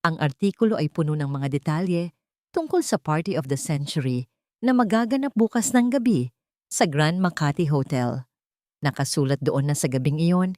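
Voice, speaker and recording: A woman narrates an audio melodrama from a script. Her voice sits a little low, and her delivery is measured, solemn and emotive. The sound is very dry, with no room around it. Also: mellow, dry recording.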